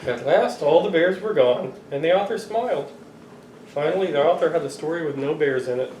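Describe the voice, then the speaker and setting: A middle-aged man reading a picture book aloud to children, expressive and playfully exasperated. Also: on the high side, a little fast. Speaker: father reading to his children. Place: living room sofa, indoors. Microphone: camera a few feet away. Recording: roomy, distant home recording with noise.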